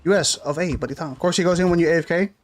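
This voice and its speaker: A man streaming a game live, rambling casually and thinking out loud as he plays and answers chat. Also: on the high side, rapid-fire, a little hiss or hum. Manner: rambling, laid-back, thinks aloud with fillers.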